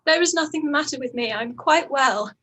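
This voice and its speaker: A woman acting a distressed, proud young gentlewoman in a period radio play, with emotional, halting delivery. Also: sits high, slight background noise.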